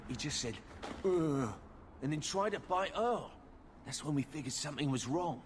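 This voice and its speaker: A man playing a gruff, wryly humorous working-class mine foreman in a video game, blunt and colloquial. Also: a little high, lively intonation, normal speed, slight background noise, lightly acted. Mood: wry, rattled. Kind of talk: video game dialogue acting.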